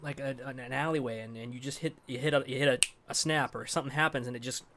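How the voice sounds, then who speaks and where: A man's voice: mid-range voice, fairly even intonation, fast, crowd noise. Enthusiastic man with a nasal voice. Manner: enthusiastic, rambling.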